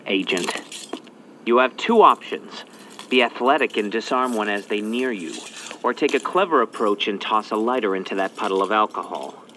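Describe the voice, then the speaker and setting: An actor playing a brisk male spy agency handler who gives crisp, urgent instructions in scripted game dialogue. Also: on the low side, a bit bright, a little hiss or hum. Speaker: mission handler.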